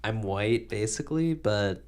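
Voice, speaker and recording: A man's voice: fairly low pitch, expressive, a little slow, darkish tone. A young man hosting a podcast, talking casually and agreeably with many fillers. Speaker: podcast host.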